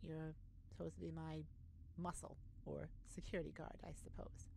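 A woman playing an earnest, easily flustered space ambassador, halting and awkward yet formal, in an ASMR sci-fi roleplay. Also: low voice, little pitch movement, gravelly, warm tone. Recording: noticeable background noise.

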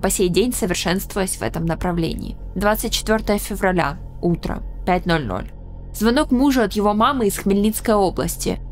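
Young woman narrating solemnly over soft music. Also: medium pitch. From Ukraine. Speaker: channel host. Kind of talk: scripted personal narration. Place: small indoor room with a studio mic. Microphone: studio microphone in frame.

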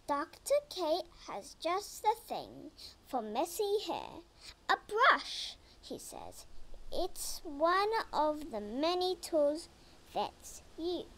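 A girl reading a children's picture book aloud, slowly and carefully, sometimes stumbling over words. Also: sits high, sing-song, a bit bright, rough recording. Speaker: reader.

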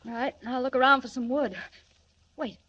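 A woman's voice: high voice, fairly even intonation, moderate pace, crowd noise, close to an everyday voice. An actress playing a polite, anxious young woman in a 1940s radio drama, a little hesitant. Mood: concerned. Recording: muffled, faintly noisy old radio recording.

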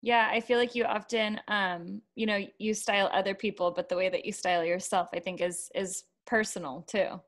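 A woman hosting an interview podcast, speaking into a headset boom mic. She asks long, thoughtful questions in a relaxed way, with likes and ums along the way. Her voice sits at an everyday middle pitch. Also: moderate pace, hardly any pauses. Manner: friendly, reflective questions with fillers. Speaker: podcast host. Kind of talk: casual interview. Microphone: pink headset with boom mic.

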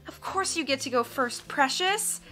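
A woman voicing a vain, doting villainous glamorous woman in a storybook, gushing and shrill. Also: animated, normal speed, pushed and bright, soft background music.

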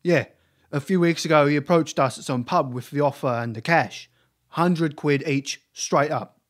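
A man's voice: a little high, normal speed, natural voice. Man playing a rattled, slangy street thug. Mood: nervous, confessing. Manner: slangy, blurting in short bursts. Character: nervous, cowardly hired street thug, rough and talkative. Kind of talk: audio drama acting.

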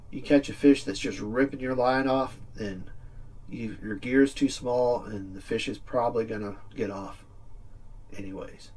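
A middle-aged man with a husky voice giving a casual, plain-spoken instructional demonstration, recorded indoors from a few feet away. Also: mid-range voice, distant mic. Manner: plain-spoken, informal explaining. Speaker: fishing video presenter. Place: small indoor room with green screen backdrop.

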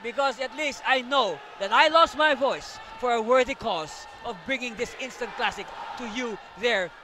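Male play-by-play caller, very high and excitable, noisy arena broadcast behind him. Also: moderate pace, a faint crowd. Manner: energetic, rises to shouts on big plays. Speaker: play-by-play commentator. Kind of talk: live basketball commentary. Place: courtside booth in a packed arena.

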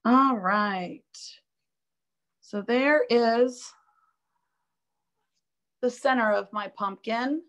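A woman teaching a live online drawing class, chatty and upbeat, thinking aloud as she works, her voice a little raspy from allergies. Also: fairly high pitch, drawn-out words, pauses often, fairly bright tone. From Florida. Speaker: art instructor.

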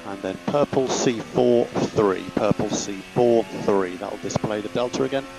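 A man's voice: on the low side, measured pace, creaky. A man speaking as a race engineer over team radio, giving procedural instructions and feedback. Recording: noisy car team radio. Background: car noise.

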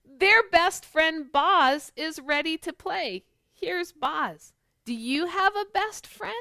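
A middle-aged woman talks to small children as a storytime host. Her voice is high and swoops widely, with an unhurried pace. She mixes chat, questions and picture-book reading in an animated way. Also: no echo, studio quality. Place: small living room at home, on a couch.

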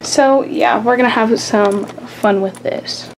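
A woman's voice: a little high, slow, a little hiss or hum, low-quality recording. Chatty young woman vlogging in her bedroom. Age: young adult. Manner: chatty, giggly, addresses viewers as guys. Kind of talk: casual vlog narration. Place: small carpeted bedroom.

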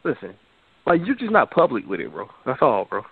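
A man's voice: mid-range voice, huge pitch swings, brisk, vocal fry, slight background noise. A man hosting a podcast in casual chat, rambling and repeating himself. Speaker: podcast host. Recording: tinny phone-like audio.

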